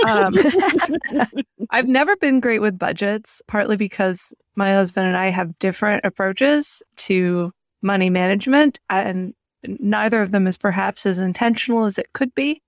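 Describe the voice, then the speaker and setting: A woman's voice: mid-range voice, lively intonation, moderate pace, dry recording. Reflective woman chatting, dry close sound. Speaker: podcast co-host. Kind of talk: casual chat.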